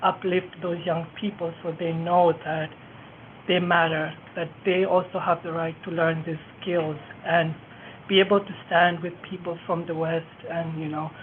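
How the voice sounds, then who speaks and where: A woman's voice: on the low side, level tone, moderate pace. A young woman making an impassioned plea and question as a participant at a roundtable. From Malawi. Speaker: youth participant asking a question. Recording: tinny phone-like audio, faint noise.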